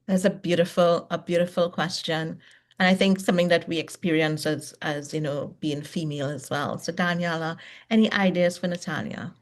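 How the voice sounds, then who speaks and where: A woman hosts a podcast interview over a call. She speaks with a slightly low voice and keeps her turns short and encouraging while she passes the floor to her guests. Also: steady tone, normal speed. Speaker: podcast host.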